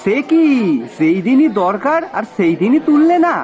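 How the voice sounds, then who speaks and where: Woman voicing an eager, lively young servant lad. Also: sits high, moderate pace, some chatter behind. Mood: bargaining, insistent. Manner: fussing, animated, rustic dialect speech. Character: devoted, chatty young male servant Pocha, rustic and eager. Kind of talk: cartoon voice acting.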